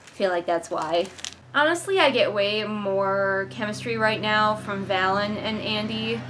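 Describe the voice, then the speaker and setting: A woman's voice: medium pitch, unhurried, fairly bright tone, a little hiss or hum. A woman hosting a casual read-along, reviewing a book with exasperated, sarcastic commentary. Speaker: book reviewer and video host.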